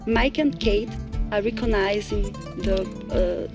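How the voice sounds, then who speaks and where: A woman's voice: medium pitch, takes it slowly, over music. A woman from Brazil, a Portuguese speaker and entomologist, speaking hesitantly in a documentary interview. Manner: hesitant, plain phrasing.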